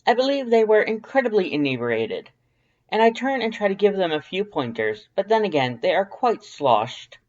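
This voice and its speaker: A woman's voice: mid-range voice, very animated, moderate pace, a little mellow, muffled recording. A woman voicing a prissy, verbose male skeleton in an audio drama, with a formal, grandiose, carefully enunciated delivery. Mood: animated, playful. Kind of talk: fan fiction audio drama acting.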